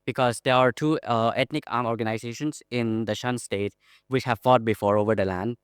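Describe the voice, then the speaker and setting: A man's voice: medium pitch, steady tone, a little fast, clean tone, no echo, clean studio sound. A young man from Thailand politely asking a question at a seminar. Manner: polite, apologetic. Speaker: audience member asking a question. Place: seminar room.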